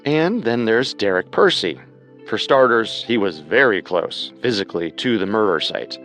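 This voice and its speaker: A man co-hosting a scripted true-crime show in a measured, serious, analytical manner. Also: on the low side, expressive, fairly bright tone, soft background music.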